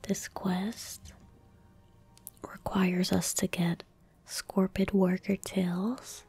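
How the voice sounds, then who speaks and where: A woman in her twenties speaking in a breathy, gentle whisper close to the mic, giving unhurried ASMR commentary as she plays a game. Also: mid-range voice, little pitch movement, faint music behind. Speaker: ASMR gaming streamer. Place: indoor room at a desk. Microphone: close desk microphone.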